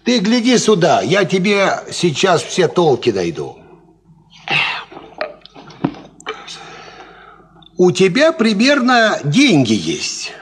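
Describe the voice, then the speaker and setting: An actor playing a worldly, cocksure peasant farmhand, explaining things in folksy fashion in a 1950s Soviet radio play. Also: male voice, high-pitched, husky, heavily acted. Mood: confident explaining. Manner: folksy, animated, instructive.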